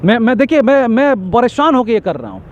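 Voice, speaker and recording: A man's voice: very high voice, sing-song, a little fast, lo-fi sound. A man giving an impassioned street interview, talking emphatically in long repetitive lists and stammering when agitated.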